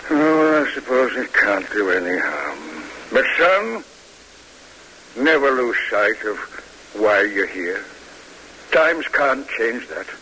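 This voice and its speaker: Gruff old army father, slow halting delivery, lively swooping pitch, reflective, vintage radio drama. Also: exaggerated voice, poor audio. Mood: wistful, musing. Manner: halting, musing, old-fashioned formal speech. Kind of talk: 1950s radio drama acting. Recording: hissy vintage radio recording.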